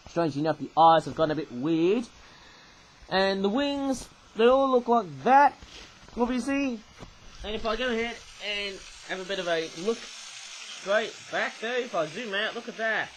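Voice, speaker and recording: A man's voice: sits high, a little hiss or hum, poor audio. A young Australian man casually reviewing toys, rambling on and often tagging his sentences with "eh?". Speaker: toy reviewer. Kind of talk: casual toy review monologue.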